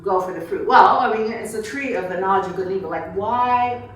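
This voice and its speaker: A woman's voice: medium pitch, a little fast, a little hiss or hum. A woman author answering audience questions in a casual, digressive way, thinking aloud in broken, informal phrases. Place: reception room before a small audience. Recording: echoey room, picked up from far off.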